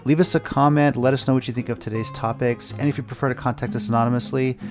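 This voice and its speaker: A man's voice: mid-range voice, steady tone, fast, music in the background. A man hosting a podcast in a casual, chatty style. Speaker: podcast host.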